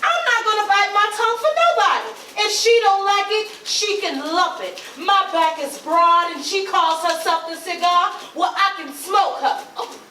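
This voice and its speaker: Lady acting jealous outspoken southern girlfriend in a play, heated, really high voice, far from the mic. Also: unhurried, hardly any pauses, pushed and bright, exaggerated voice. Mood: indignant, defiant. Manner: Southern folk dialect, heated. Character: fiercely jealous, outspoken young woman in love. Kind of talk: staged play reading. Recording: roomy, distant mic, faint noise.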